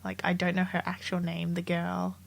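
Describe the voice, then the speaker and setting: A woman's voice: fairly low pitch, completely flat delivery, normal speed, gravelly. Woman co-host, slight cold, loose rambling film chat, laughs a lot. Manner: chatty, rambling, laughs often. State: catching a cold. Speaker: podcast co-host.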